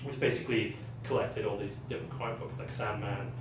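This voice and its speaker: A man's voice: lowish voice, steady tone, fast talker. A man in his thirties with a mixed colonial English expat accent, chatting casually as a game designer guest, rambling with many fillers and frequent laughs. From England. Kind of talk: casual interview chat. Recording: echoey room, distant mic, faint noise.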